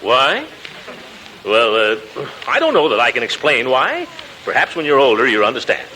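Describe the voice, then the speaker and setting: A pompous, flustered middle-aged uncle in a 1940s radio comedy. His bright voice swings wildly up and down as he blusters and hesitates fondly. The old recording carries faint noise. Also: mid-range voice, huge pitch swings, moderate pace, low-quality recording. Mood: fond, fatherly.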